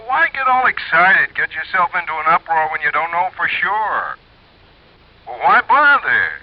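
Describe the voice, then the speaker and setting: A man's voice: a little high, sing-song, moderate pace. An American man playing a fussy, skeptical insurance office man in a 1950s radio drama, protesting and hesitant. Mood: exasperated, skeptical. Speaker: supporting actor. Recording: muffled, faintly noisy vintage radio recording.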